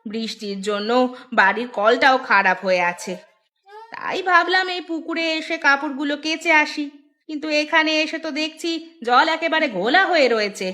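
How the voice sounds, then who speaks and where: An actress voicing a teasing, outspoken village housewife in a cartoon, with expressive, dramatic delivery. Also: female voice, sits high, normal speed. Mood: curious, chatty. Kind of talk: Bengali cartoon voice acting.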